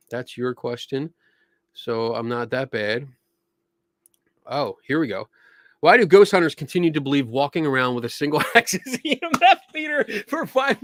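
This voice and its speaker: A man in the middle of a relaxed live stream, talking in a rambling way with lots of "um" and restarts. His voice is wearing thin and hoarse. The sound is dry and close, with no room around it. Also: mid-range voice, no room sound. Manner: rambling, many fillers and restarts, mocking quoted voices. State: losing his voice, clearing throat. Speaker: livestream host answering viewer questions. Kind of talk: casual live-stream chat.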